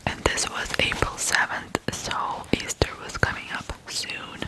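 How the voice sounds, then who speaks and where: An ASMR host from Ukraine, telling stories in a hushed whisper with frequent pauses between short phrases. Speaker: ASMR video host and storyteller. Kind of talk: whispered ASMR true crime storytelling.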